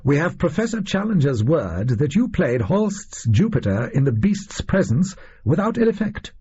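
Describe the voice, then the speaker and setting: Storybook gentleman detective, man acting: shrewd, assured, condescending, very breathy husk, mellow, lively delivery. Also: fairly high pitch, moderate pace, highs cut off, voice pushed up, full character voice. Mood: amused, assured. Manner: precise, theatrical, condescending.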